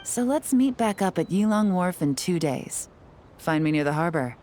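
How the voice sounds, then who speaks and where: A woman playing a cool, composed female secret agent who speaks in a calm, measured, quietly confident way, in a video game cutscene. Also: on the low side, close to an everyday voice.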